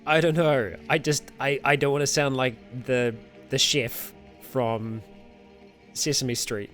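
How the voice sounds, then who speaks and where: A man's voice: mid-range voice, little pitch movement, slow, a bit bright. Chatty streamer man, faint music behind. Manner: chatty, rambling, frequent fillers and self-corrections, laughs often. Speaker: streamer host. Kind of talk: casual livestream chat, answering viewer comments.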